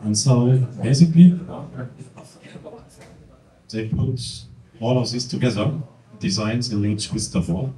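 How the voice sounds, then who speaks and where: A middle-aged man with a German accent, presenting slides at a conference in halting English with many fillers. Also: medium pitch, dark tone. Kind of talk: presenting slides at a tech talk. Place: large conference hall with projector. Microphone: handheld mic. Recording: echoey hall, mic at distance.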